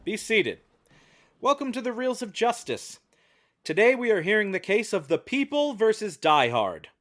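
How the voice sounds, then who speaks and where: A man's voice: sits high, big pitch swings, moderate pace, fairly bright tone. A man hosting a mock trial as presiding judge, reading a script in a formal, ceremonious way.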